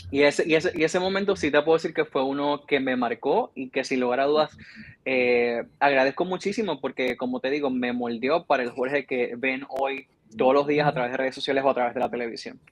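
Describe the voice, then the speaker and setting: A man's voice: mid-range voice, fairly even intonation, a little fast. A man in his twenties with a Puerto Rican accent, giving reflective, earnest answers as a guest in a conversational interview. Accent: Puerto Rican Spanish. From Puerto Rico. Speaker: interview guest, journalist and TV reporter.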